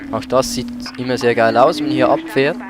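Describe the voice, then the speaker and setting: A man's voice: mid-range voice, fairly even intonation, a little fast. A man doing casual let's play commentary as host, with a somewhat hesitant delivery, searching for his words.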